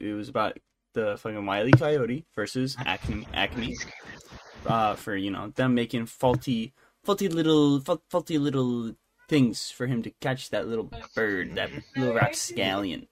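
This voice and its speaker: A man's voice: mid-range voice, a little slow, slight background noise. A man co-hosting a podcast in a casual, bantering chat style. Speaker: podcast co-host.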